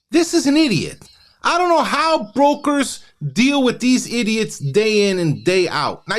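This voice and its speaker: A man hosting a live review, mocking and exasperated as he comments. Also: very high voice.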